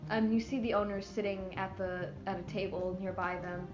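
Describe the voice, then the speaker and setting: A woman's voice: medium pitch, steady tone, moderate pace. A young adult woman narrating as game master, hesitant and full of fillers. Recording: roomy lo-fi room mic with faint music.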